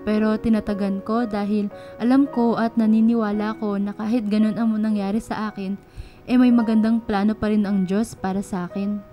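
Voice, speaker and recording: A woman's voice: mid-range voice, flat delivery, moderate pace, mellow, close to an everyday voice. A woman playing a protective, loving, humble mother in a radio drama. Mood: tender, reassuring. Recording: music bed behind the voice.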